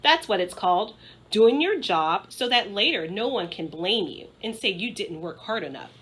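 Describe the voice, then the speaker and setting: A woman's voice: mid-range voice, lively intonation, normal speed, a bit bright, breathy edge. A woman voicing an earnest, hardworking schoolgirl in a children's storybook, with an emphatic, insistent way of talking. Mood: defensive, insistent. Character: earnest, intense, hardworking schoolgirl, bossy and defensive. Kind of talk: children's storybook read-aloud.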